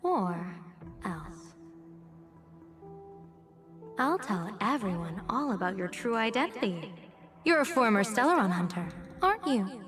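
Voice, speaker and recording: A woman acting a poised, playful young general and fortune-teller in a video game, with a teasing, theatrical delivery. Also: medium pitch. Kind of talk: acted game dialogue. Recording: music behind the voice. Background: game music.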